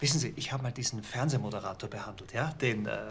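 An actor playing a reassuring, slightly smug naturopath chatting to a patient in a TV crime comedy. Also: male voice, fairly low pitch, quick pace, a bit bright, a little hiss or hum. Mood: soothing, self-assured. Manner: soothing, chatty, tag questions.

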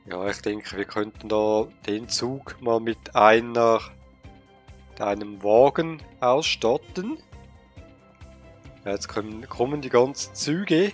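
A man with a cold, thinking aloud with many pauses and fillers while commenting on a game he plays. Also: medium pitch, soft background music. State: has a cold. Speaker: let's play gamer. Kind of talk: casual gameplay commentary.

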